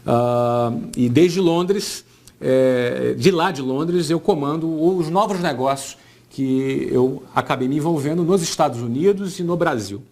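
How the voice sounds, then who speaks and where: Male, 40s, Brazilian Portuguese accent, mid-pitched voice. Entrepreneur giving a conversational motivational talk. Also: measured pace, darkish tone. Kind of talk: informal motivational business talk.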